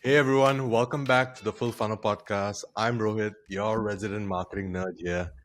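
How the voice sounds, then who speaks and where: Deep-voiced male podcast host. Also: fairly even intonation, brisk, music in the background. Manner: upbeat, scripted welcome.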